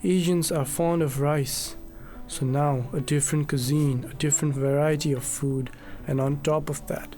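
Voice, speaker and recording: A man reading scripted documentary narration in an earnest, measured way. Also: fairly high pitch, fairly even intonation, dark tone, soft background music.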